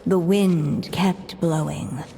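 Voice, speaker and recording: A woman's voice: low-pitched, steady tone, extremely slow, creaky, dark tone, in a large hall, slight background noise, exaggerated voice. A woman acting as a solemn, enigmatic storyteller, narrating a fairy tale in a game. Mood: solemn, measured.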